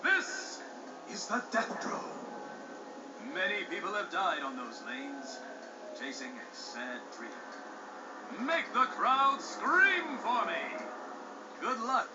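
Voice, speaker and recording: Flamboyant, swooping TV race host. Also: male voice, sits high, wildly swooping pitch, extremely slow, long pauses, full character voice. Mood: grand showmanship. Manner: grandiose, hammy, sly. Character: flamboyant, vain male racing show host. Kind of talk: showy announcer acting in game cutscene. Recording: dull game audio with music behind.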